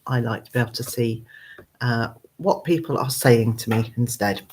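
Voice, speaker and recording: A woman with a hoarse, croaky voice, hosting a casual live chat from her computer at home, rambling with many ums and uhs. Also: extremely low pitch, moderate pace, a little mellow, slight background noise. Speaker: gardening channel host.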